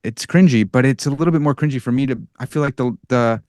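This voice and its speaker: A man's voice: mid-range voice, fast talker, dry recording, clean studio sound. A man in his thirties with a nasal Chicago accent, talking casually and animatedly as a podcast guest. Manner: animated, bro-ish, self-deprecating. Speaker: podcast guest, philosopher and writer. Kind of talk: casual conversation.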